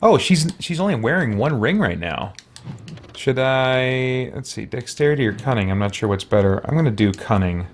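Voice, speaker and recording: A man hosts a casual let's play in a middle-range voice. He thinks out loud as he goes, half muttering to himself in a conversational way. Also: medium pitch, big pitch swings, moderate pace. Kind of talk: casual gameplay commentary.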